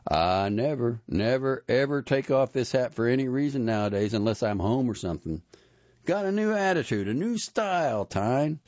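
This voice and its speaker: A man voicing a sly, showy, smooth-talking middle-aged man with a boastful drawl. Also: lowish voice, a little mellow, dry recording, dull audio, voice pushed up.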